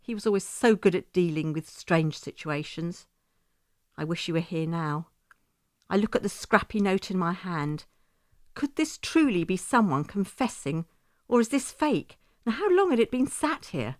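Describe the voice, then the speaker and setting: Woman acting grieving widowed mother, lowish voice. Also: no room sound, clean studio sound, natural voice. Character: grieving widowed mother, shaken, bitter and bewildered. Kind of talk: first-person audio drama monologue.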